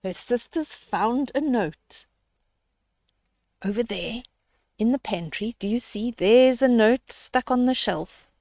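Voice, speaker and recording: A woman's voice: fairly high pitch, takes it slowly, darkish tone, no echo. An older woman reading a children's story aloud, expressively, with explanatory asides and questions to young listeners.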